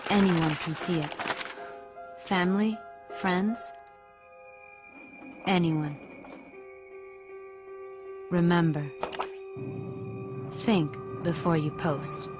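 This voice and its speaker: Soft slow woman over music. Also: on the low side. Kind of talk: public service announcement. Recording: PSA played with music.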